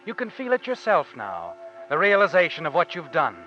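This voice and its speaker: Ominous suspense narrator over music. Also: male voice, on the high side, huge pitch swings, fairly quick. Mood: knowing, suspenseful. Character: ominous, taunting unseen narrator addressing the guilty. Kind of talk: 1940s suspense radio narration. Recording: muffled old radio with music underneath.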